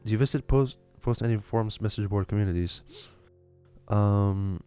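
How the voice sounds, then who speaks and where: Low-voiced Lithuanian man, halting livestream chat. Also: mellow, faint music behind. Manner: halting, many fillers and repeated restarts. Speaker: livestream host. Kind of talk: casual livestream chat reading viewer questions.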